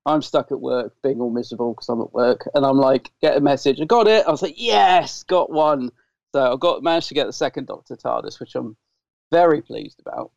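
Male podcast co-host, croaky and gravelly, chatty storyteller, dry dull lo-fi sound. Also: medium pitch, brisk, darkish tone, dry recording. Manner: chatty, rambling anecdotes. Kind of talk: casual chat.

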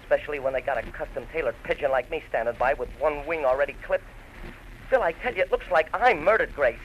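Casting: frantic framed jailbird, highish voice, pleading down a dull phone line, vintage radio crime drama. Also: male voice, quick pace. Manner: urgent, pleading. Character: desperate framed ex-convict man pleading for help. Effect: telephone filter. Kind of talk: 1940s-50s radio drama acting. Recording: muffled phone-filtered old radio, faint noise.

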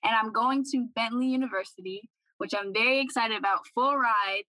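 A young woman talks casually about herself as a podcast guest. Her voice is bright and slightly high, and the sound is close and dry. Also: brisk, no echo, low-quality recording. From Dorchester, Boston. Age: late teens, young adult. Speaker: scholarship recipient, podcast guest. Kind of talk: casual self-introduction.

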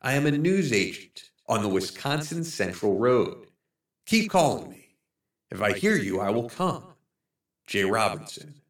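A man voicing a lost, starving man who pleads in a soft, hushed, higher voice. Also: sing-song, a little slow, reverberant, distant mic. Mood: pleading.

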